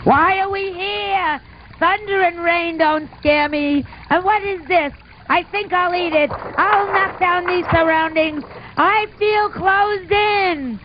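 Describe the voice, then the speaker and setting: A woman's voice: very high-pitched, takes it slowly. A woman voicing a wild, blunt young tyrannosaurus in a puppet show, scornful and full of questions. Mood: restless, curious. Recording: muffled, noisy amateur puppet show recording.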